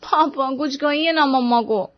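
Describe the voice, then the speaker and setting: A woman voicing an exasperated, defiant young daughter who pleads and talks back in an animated story. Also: sits high, unhurried, no echo, highs cut off, voice pushed up. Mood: pleading. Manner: pleading, whiny back-talk. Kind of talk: Hindi animated moral story dialogue.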